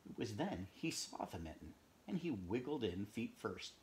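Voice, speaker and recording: A middle-aged man reading a picture book aloud at home, expressive, pausing to chat about the pictures and dropping into whispers. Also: on the low side, slight background noise. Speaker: read-aloud storyteller. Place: home room, indoors.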